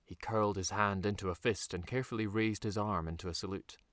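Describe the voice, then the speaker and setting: A man reading a dark fantasy audiobook in a measured, dramatic storytelling manner with deliberate pauses. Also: fairly low pitch, fairly even intonation, no echo, studio quality. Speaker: author reading his own novel. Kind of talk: scripted audiobook narration.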